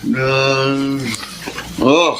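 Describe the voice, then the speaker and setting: An actor playing a callous, hard-drinking, boastful boyfriend in a Filipino radio drama, brusque and cocky. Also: male voice, mid-range voice, little pitch movement, extremely slow, loud music behind the voice. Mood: irritated, bullying.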